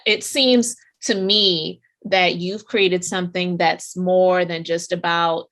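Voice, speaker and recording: A woman's voice: on the low side, steady tone, slow, fairly bright tone. A woman hosting a business podcast interview, asking questions and praising her guest effusively. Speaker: podcast host.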